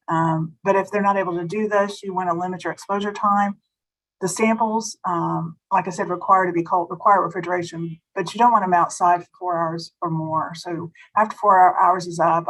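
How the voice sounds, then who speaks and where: A woman giving a food safety presentation at a webinar, slightly hoarse and explaining step by step with small restarts. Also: on the low side, low-quality recording. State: slightly hoarse, losing her voice.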